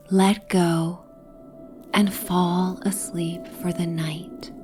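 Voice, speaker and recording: A woman with a soft, breathy, near-whispered voice gently narrating a soothing guided sleep meditation and bedtime story. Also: lowish voice. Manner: gentle, soothing, hypnotic, with long pauses.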